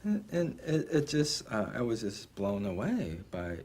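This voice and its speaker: Husky guy telling a story, voice bouncing all over, acts out the others. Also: medium pitch, a little slow. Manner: animated, acts out quoted dialogue. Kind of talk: personal storytelling in group discussion.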